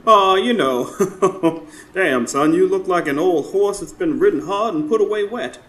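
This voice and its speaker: A man's voice: sits high, expressive, unhurried, a bit bright. A man acting as a jovial, teasing, worn-out friend in a radio drama. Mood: amused, teasing.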